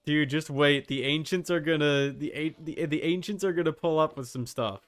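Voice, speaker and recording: A man's voice: fairly high pitch, normal speed. A man giving casual livestream commentary on a game, thinking aloud in slangy language with some swearing. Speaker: livestream host.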